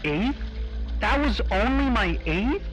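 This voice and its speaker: A man playing a terrified, despairing prison inmate in an audio drama, pleading haltingly and repeating his words. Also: extremely high pitch, sing-song, music in the background, full character voice, low-quality recording. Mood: dazed despair.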